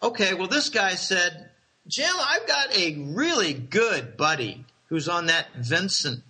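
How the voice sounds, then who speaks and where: High, bright-voiced man telling rambling stories. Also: very animated, a little slow, slightly rough. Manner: rambling, chuckling, with many restarts. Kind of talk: casual conspiratorial storytelling.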